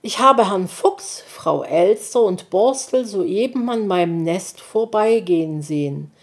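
A woman's voice: mid-range voice, animated, takes it slowly. A woman voicing a kindly old male owl who speaks politely. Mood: friendly.

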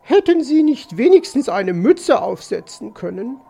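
A man voicing a fussy, easily startled female magpie in a storybook, with excitable, exclaiming delivery. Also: very high voice, a little slow, vocal fry, husky, voice pushed up, full character voice. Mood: scolding.

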